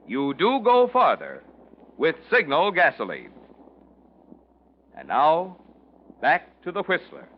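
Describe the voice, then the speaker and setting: A man's voice: on the high side, wildly swooping pitch, slow, long pauses, background noise. A man reading a 1940s radio advertisement as an announcer, with an emphatic, polished sales delivery. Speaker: commercial announcer. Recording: muffled 1940s radio recording.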